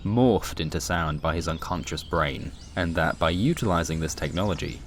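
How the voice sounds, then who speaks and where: A man with a low voice tells a scripted comic sci-fi tale. His delivery is wry and dramatic, with faint noise behind the voice. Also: normal speed. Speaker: storyteller.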